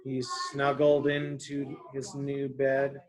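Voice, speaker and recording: A woman reading a picture book aloud to a child as a teacher, expressive, coaxing and explanatory. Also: a bass voice, takes it slowly, poor audio. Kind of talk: children's story read-aloud with questions.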